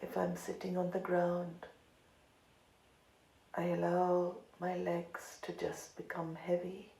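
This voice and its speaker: Female meditation guide: calm, unhurried, slightly low and creaky, pausing often, roomy recording. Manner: calm, deliberate, long pauses between phrases. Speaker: meditation teacher. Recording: roomy echo, light background noise.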